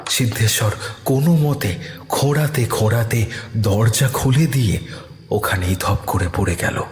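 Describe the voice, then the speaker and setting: A man narrating a scripted horror story dramatically, with suspenseful, deliberate pauses. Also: on the low side.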